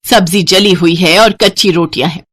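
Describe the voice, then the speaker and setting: A woman voicing a sharp-tongued, contemptuous older mother-in-law in a Hindi cartoon story, loud and taunting. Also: on the low side, normal speed, husky. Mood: angry, scornful.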